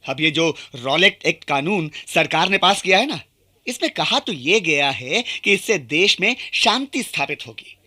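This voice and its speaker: A man's voice: a little high, lively intonation, moderate pace, pushed and bright, full character voice. An actor playing a shrewd, indignant Indian townsman in a radio drama, explaining things in a folksy, emphatic way. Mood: knowing, indignant.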